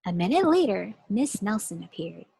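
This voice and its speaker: A woman teacher reading a picture book aloud to young children in an expressive storytelling way. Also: mid-range voice, moderate pace, gravelly, slightly rough. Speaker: pre-kindergarten teacher.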